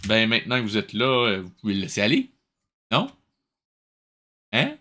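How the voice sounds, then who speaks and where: A man's voice: on the low side, wildly swooping pitch, moderate pace, bright, forceful tone, faint music behind. A man with a Québécois accent hosting a reaction show, giving casual, incredulous and colloquial running commentary.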